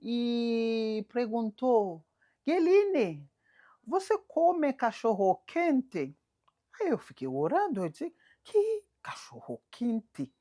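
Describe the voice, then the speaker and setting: A woman with a Haitian accent, telling an anecdote as an animated storyteller who laughs as she talks. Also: fairly high pitch, takes it slowly. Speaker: interviewee. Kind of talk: documentary interview.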